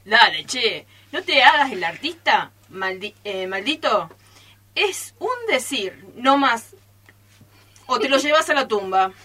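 A woman's voice: fairly high pitch, animated, slow, sharp tone, full character voice. A woman with an Argentine accent acting an impatient, mocking friend in amateur radio theatre, insistent and needling.

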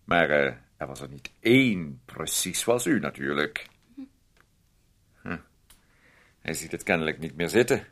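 An actor playing a fussy, controlling brother in a radio play, dryly condescending and halting, with little interjections. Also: male voice, extremely low pitch, expressive, fairly bright tone, exaggerated voice. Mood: sardonic, condescending. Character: fussy, sardonic, controlling brother of a grown man.

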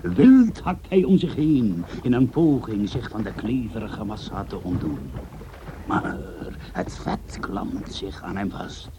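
A man's voice: fairly low pitch, animated, slow pace. A male actor as the solemn, dramatic storyteller of a Dutch mock-heroic radio play, delivering scripted narration. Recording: noisy radio play soundscape.

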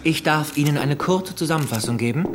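An actor playing a methodical police investigator in a crime radio drama, reporting in an orderly, factual way. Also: male voice, a little high, fairly quick, heavy background noise, lightly acted. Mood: factual, measured. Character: methodical male police investigator briefing his chief.

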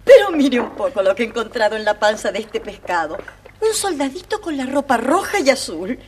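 Actress as an animated, high-voiced household cook. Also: female voice, fairly quick, a little hiss or hum. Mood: amused, calling out. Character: amused household cook, woman. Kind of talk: children's audio storybook acting.

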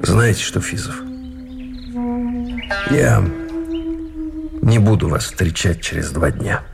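Deep, gravelly, bitter imprisoned lieutenant. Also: male voice, lively intonation, takes it slowly, soft background music, exaggerated voice. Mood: restrained disgust. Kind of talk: radio drama acting.

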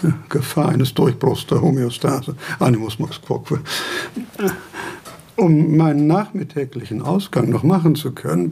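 An actor playing a frail, eccentric old philosopher in a radio drama, halting and pedantic, with a husky, creaky voice. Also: male voice, on the high side, mellow, exaggerated voice. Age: older. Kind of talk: German radio drama acting.

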